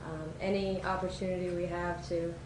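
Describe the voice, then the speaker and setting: A woman filmmaker giving informal thank-you remarks as a guest speaker, hesitant and pausing often. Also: fairly low pitch, level tone. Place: event hall before an audience. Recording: echoey room with background noise.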